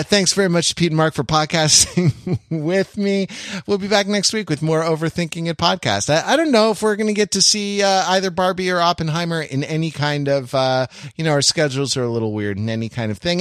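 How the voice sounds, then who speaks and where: Animated, wry male podcast host. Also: a little high, brisk, dry recording, studio quality. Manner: wry, rambling, mock-earnest digressions. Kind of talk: casual humorous pop culture chat.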